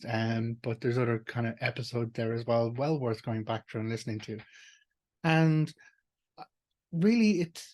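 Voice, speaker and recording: An Irish man talks at length in a loose, unscripted way, circling back and restarting his sentences. His voice sits in an ordinary middle pitch. He sounds earnest and plain-spoken, with the odd swear word. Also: a little mellow. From Ireland. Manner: rambling, earnest, with restarts and fillers. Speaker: teacher and school co-founder. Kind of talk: casual monologue.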